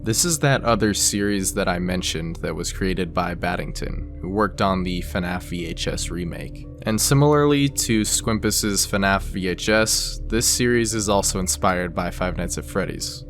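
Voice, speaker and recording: A man with a fairly low voice narrates a scripted video explainer. He talks the listener through it conversationally, slipping in casual asides. Soft music plays underneath. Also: measured pace, few pauses. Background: background music.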